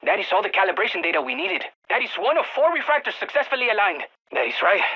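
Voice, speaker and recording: A man's voice: high-pitched, big pitch swings, fast talker, vocal fry, slightly rough, highs cut off, faint music behind, full character voice. A man playing an eager, geeky field scientist in a video game, giving precise technical briefings to soldiers over radio comms. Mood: brisk, informative. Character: excitable nerdy male field scientist guiding soldiers by radio. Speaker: mission-support scientist character in a shooter game.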